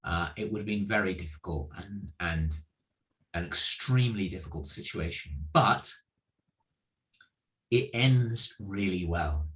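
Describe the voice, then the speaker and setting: A man preaching informally, with halting, hesitant phrasing and repeated words. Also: low voice, a bit bright, rough recording. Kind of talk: informal sermon.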